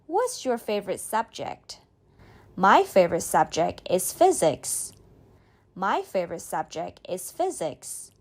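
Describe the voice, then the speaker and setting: A woman reading a beginner's speaking drill, saying each line slowly and clearly, then repeating it. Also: mid-range voice, very animated. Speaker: model speaker in a language drill. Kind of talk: slow scripted drill reading.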